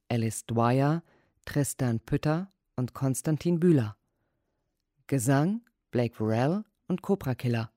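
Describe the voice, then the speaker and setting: A man's voice: medium pitch, dark tone, dry recording, clean studio sound. A man reading scripted programme credits as a radio announcer. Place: dry studio.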